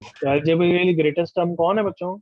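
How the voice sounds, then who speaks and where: A man teaching an online class, drilling his students with quick questions. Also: high-pitched, fairly even intonation, lo-fi sound. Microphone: video call.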